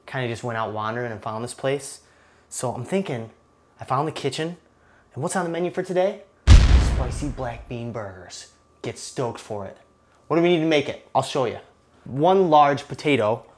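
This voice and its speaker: A man's voice: medium pitch, lively intonation, moderate pace, pauses often, slight background noise. A man in his thirties hosting a casual cooking demonstration in a home kitchen, joking with the camera as he works. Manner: laid-back, jokey, talks to camera while cooking. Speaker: cooking show host.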